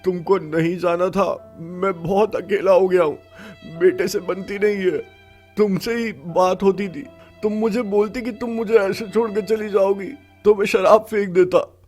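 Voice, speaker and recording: A man voicing a stubborn, lonely old father who drinks, gruff and self-pitying, in an animated story. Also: high voice, measured pace. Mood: grieving. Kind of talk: animated story dialogue acting. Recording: background music under the voice.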